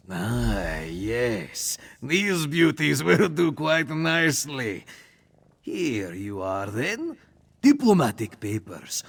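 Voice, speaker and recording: A sly, boastful cat-man forger from a fantasy game. The voice is husky and full of fry, unhurried, and it swings up and down with self-satisfaction. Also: medium pitch, fairly bright tone, exaggerated voice. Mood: smug. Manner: boastful, refers to himself in third person. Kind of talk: video game character acting.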